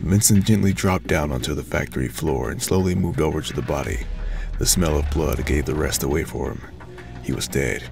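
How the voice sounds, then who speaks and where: A man's voice: a bass voice, a little fast, gravelly. A man reading scripted story narration as the narrator of an audio drama. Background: music.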